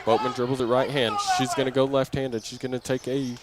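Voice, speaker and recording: A man's voice: mid-range voice, little pitch movement, fairly quick. A man calling live basketball play-by-play for an audio broadcast in short, clipped phrases, raising his voice with the action. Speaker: play-by-play announcer. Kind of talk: live basketball radio commentary. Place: indoor basketball gym during game. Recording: noisy gym ambience, bouncing balls.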